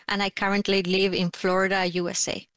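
A woman from Argentina, a mother, describing her favourite snack with gentle humour in an interview. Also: medium pitch, level tone, a little fast, no room sound. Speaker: mother, listener contributor. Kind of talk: conversational interview answers.

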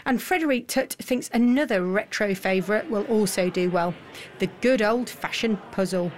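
A woman hosts a radio business programme, introducing guests and asking questions. Her delivery is measured and clear, sitting at an ordinary middle pitch. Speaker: radio presenter. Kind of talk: broadcast news presenting and interviewing.

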